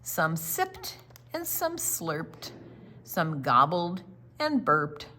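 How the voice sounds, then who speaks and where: A woman's voice: lowish voice, very animated, drawn-out words, bright, forceful tone, slight background noise. A woman reading a picture book aloud to young children, expressively, with emphatic words and little explanations along the way. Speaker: children's storytime reader.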